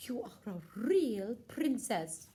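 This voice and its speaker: A woman voicing a polite, brisk, insistent queen and mother in a children's puppet show. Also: fairly high pitch, very animated, dark tone.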